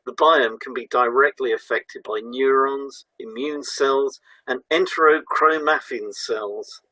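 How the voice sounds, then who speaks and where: Man presenting with a bright, forceful voice. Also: mid-range voice, a little slow, no room sound, rough recording. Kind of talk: scripted educational presentation.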